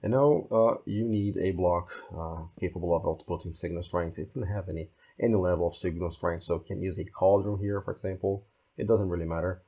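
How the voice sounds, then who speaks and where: Hoarse low-voiced man with a gravelly edge. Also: steady tone, a little fast, mellow, muffled recording. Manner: step-by-step, thinking aloud with fillers. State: hoarse, losing his voice. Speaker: game tutorial creator. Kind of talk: screen-recorded building tutorial.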